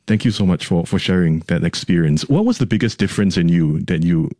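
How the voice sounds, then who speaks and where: Male interview host, low pitched n mellow, measured careful questions, lots of affirming, dry sound. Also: lively intonation, no room sound. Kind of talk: attentive conversational interviewing.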